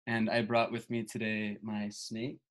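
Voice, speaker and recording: A man in his twenties from Buffalo, introducing himself on a video call, soft-spoken and hesitant with small pauses. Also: lowish voice, completely flat delivery. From Buffalo, New York. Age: 20s. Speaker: participant. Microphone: laptop webcam on video call.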